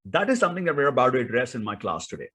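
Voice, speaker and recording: A man's voice: medium pitch, very animated, racing, fairly bright tone, husky. A man with an Indian accent giving an instructional talk as a speaking coach, in an emphatic, theatrical way full of rhetorical questions.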